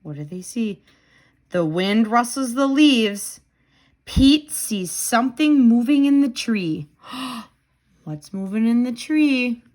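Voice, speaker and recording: Animated, slow-paced woman reading to children. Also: on the high side. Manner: animated, with dramatic gasps and simple clear phrasing. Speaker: tutor reading a children's book aloud. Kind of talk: expressive children's read-aloud with questions to the listener.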